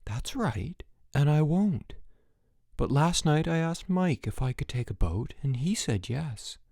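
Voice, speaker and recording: A calm, poker-faced boy at summer camp, as a man reading the story puts him on. He speaks flatly and without hurry, with a slight gravel in the voice, in a dry close recording. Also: fairly high pitch, unhurried, no echo, voice pushed up, full character voice. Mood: deadpan. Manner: calm, deadpan.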